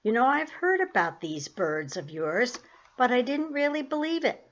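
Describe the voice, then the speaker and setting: A woman's voice: on the high side, sing-song, moderate pace, muffled recording, voice pushed up. A woman reading the part of a friendly, chatty male theater manager.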